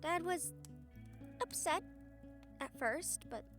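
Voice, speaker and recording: A woman's voice: extremely high pitch, animated, slow, a bit bright, faint music behind, full character voice. A woman playing a wry, caring young woman friend in a fantasy audio roleplay, speaking casually and hesitantly, as if to one listener. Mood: candid, wry. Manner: casual, hesitant, chuckling, mildly sweary. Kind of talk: audio roleplay acting, intimate one-sided conversation with listener.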